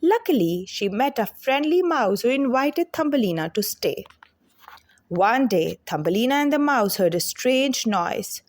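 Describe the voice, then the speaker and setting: A woman reading a children's fairy tale aloud as an expressive, deliberate storyteller. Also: a little high.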